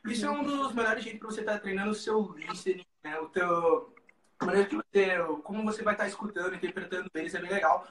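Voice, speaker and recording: A Brazilian teacher speaks in an easygoing, friendly way on a video call. His voice is high and bright. He sounds far from the mic in a roomy space. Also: fast, slight background noise. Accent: Brazilian Portuguese. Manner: friendly, relaxed, many "né" tags. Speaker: language teacher. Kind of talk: guest on livestream.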